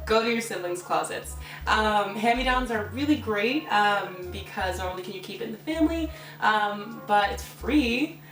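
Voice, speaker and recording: A young woman talks easily as a guest, pausing often on "um". Her mid-range voice comes from a little way off in a roomy space, with music behind it. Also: mid-range voice. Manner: relaxed, hesitant with many "um"s. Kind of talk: casual chat. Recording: roomy echo, distant mic, background music. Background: background music.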